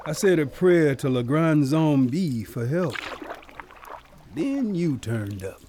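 Slow, fading Southern man. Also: a little high, big pitch swings, noisy background. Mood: humble, earnest. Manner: slow, resigned, folksy. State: weak, fading. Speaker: actor in a radio drama. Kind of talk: radio drama acting.

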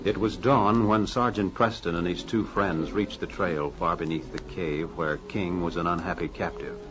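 A man's voice: low-pitched, steady tone, normal speed. A man narrating as a radio announcer in a formal, theatrical 1940s drama style. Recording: muffled old radio broadcast. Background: music.

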